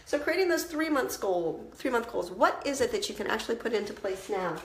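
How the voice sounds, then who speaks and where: A woman's voice: a little high, lively intonation, a little fast, echoey room. A woman business coach, congested from a cold, giving a conversational, encouraging talk on planning goals. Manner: conversational, encouraging, with frequent restarts. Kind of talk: instructional talk to camera.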